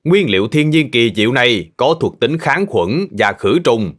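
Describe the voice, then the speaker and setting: Cartoon farm owner, played by a man: friendly adult, animated delivery, gently explains facts to kids. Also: mid-range voice, moderate pace. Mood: friendly, instructive. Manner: patient, explanatory, addressing children gently. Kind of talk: children's cartoon dubbing, educational.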